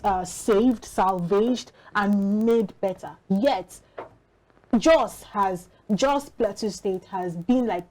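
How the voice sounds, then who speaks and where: A woman's voice: medium pitch, darkish tone, poor audio. Nigerian woman hosting a morning news discussion. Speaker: TV host.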